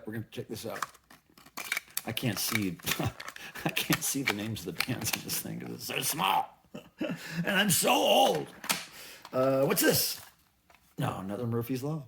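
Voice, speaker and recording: A man's voice: mid-range voice, very animated, unhurried, gravelly, long pauses, a bit bright, slight background noise. A middle-aged man chatting casually as a vlog host, musing aloud in a rambling way with folksy, playful slang. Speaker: video channel host. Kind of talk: casual haul vlog chat.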